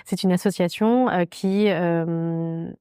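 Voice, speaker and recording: A woman from France, a podcast guest, telling her personal story candidly in a halting way, with many fillers and restarts. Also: on the low side, fairly even intonation, dark tone, clear, resonant tone, no echo, studio quality.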